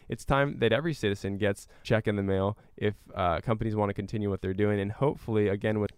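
Guy as radio interview guest, smooth lowish voice, explains stuff casually, dry sound. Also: no echo. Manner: explanatory, with everyday examples. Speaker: interview guest, climate researcher and activist.

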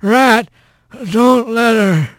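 A man voicing a gruff, sardonic bobcat who is groggy and slurs his drawn-out words. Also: very high voice, a bit bright, no echo, studio quality, voice pushed up, exaggerated voice. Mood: groggy, irritable. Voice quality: slurred. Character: groggy, gruff male bobcat, snarly tough fighter.

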